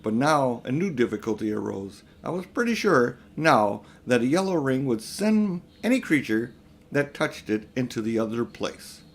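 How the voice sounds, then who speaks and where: A man's voice: mid-range voice, very animated, normal speed, vocal fry. A man voicing a pompous, vain, selfish elderly magician uncle in a storybook reading, with a grandiose, self-important manner. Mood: impatient. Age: older. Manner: grandiose, self-important, indignant when challenged.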